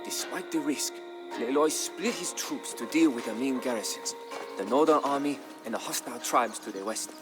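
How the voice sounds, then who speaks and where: A man with a medium-pitched voice narrates a dramatic historical tale. He tells it in a measured, solemn way, like a scripted game storyline. Clear background music runs behind his voice.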